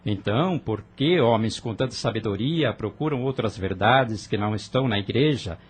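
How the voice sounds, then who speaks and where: A man's voice: fairly low pitch, normal speed, husky. A man playing an earnest, idealistic young rebel who argues passionately with his sister in an audio drama. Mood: persuasive. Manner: fervent, persuasive, earnest.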